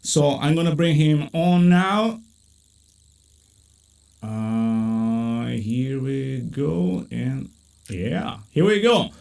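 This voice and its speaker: Italian-accented male host, live-stream chat, animated and chatty, filler-heavy. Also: very slow, gravelly, pauses often. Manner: animated, many fillers, pitch jumps when excited. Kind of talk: casual video-call chat. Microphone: video call.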